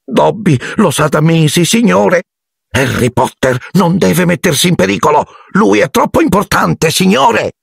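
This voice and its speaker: A man voicing a small, anxious, devoted male house-elf for a storybook, squeaky and hoarse, servile and deferential. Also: lively intonation, unhurried, no echo, studio quality, heavily acted. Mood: anxious pleading. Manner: speaks of himself in third person, deferential.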